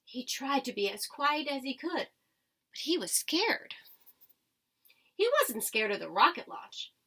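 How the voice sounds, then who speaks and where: A woman's voice: high voice, big pitch swings, unhurried, sharp tone, slightly rough. A woman reading a children's storybook aloud like a teacher, expressively, with dramatic emphasis. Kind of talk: expressive children's storybook read-aloud with short asides to listeners.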